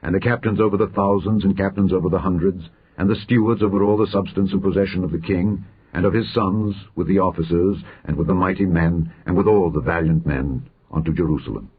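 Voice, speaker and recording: A man reads scripture aloud in a solemn, measured, formal manner, letting his phrases fall at the end. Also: low-pitched, husky, narrow, tinny audio. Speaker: scripture reader.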